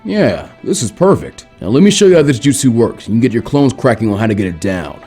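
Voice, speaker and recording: A man voicing a cool, casual adult ninja teacher who teases gently, in an audiobook story. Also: mid-range voice, very animated, fast, breathy edge, soft background music. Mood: casual, instructive. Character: laid-back bearded adult male ninja teacher. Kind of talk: fan fiction audiobook character voice.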